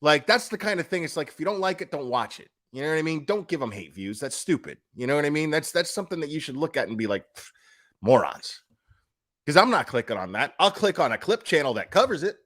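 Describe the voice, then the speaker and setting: A man's voice: a little high, very animated, fast, fairly bright tone. A man giving casual, opinionated commentary as a streamer, speaking in a blunt, emphatic, foul-mouthed manner.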